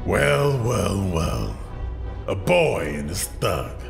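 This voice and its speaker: A man's voice: sits low, wildly swooping pitch, drawn-out words, creaky, faint music behind, full character voice. A man playing a menacing, sardonic crime boss with a taunting, theatrical manner in an audio drama. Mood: menacing, smug.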